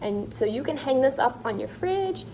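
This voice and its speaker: A woman's voice: high-pitched, lively intonation, normal speed, warm tone, slight background noise, low-quality recording. A woman art teacher giving children simple, encouraging step-by-step instructions.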